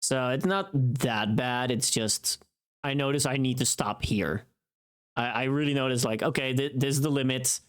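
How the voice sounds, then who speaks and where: Tired-voiced livestreamer, medium pitch. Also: steady tone, moderate pace, smooth. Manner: rambling, informal, mildly sweary. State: tired voice from long recording. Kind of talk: casual livestream chat.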